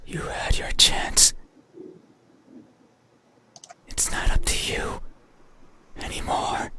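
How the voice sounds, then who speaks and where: Casting: an otherworldly horror-game entity, whispered throughout, very unhurried, menacing with a sorrowful edge. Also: bright, forceful tone. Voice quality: breathy unvoiced whisper. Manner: slow, halting, insistent. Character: eerie mysterious being demanding to be seen, menacing and sorrowful. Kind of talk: horror visual novel voice acting.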